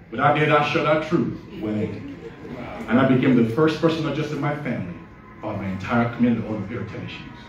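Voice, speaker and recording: Man, Nigerian accent, middle-aged, fast-paced earnest speech, heavy hall echo. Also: mid-range voice, lo-fi sound, background noise. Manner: storytelling, earnest, rising to emphatic peaks. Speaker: award honoree giving a speech. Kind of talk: inspirational speech. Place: large hall at an awards event, red carpet. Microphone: handheld mic, filmed by a phone from the audience. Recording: echoey hall, far from the phone.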